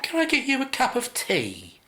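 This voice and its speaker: A woman's voice: a little high, wildly swooping pitch, moderate pace, a bit bright, roomy sound. A British woman playing a chatty, flustered young receptionist in a radio play, polite and hesitant. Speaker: actor.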